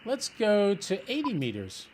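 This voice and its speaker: A man's voice: sits high, huge pitch swings, unhurried. A man giving casual running commentary as he operates a ham radio, thinking aloud through each step. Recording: loud radio hiss and static noise. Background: ham radio hiss and chatter.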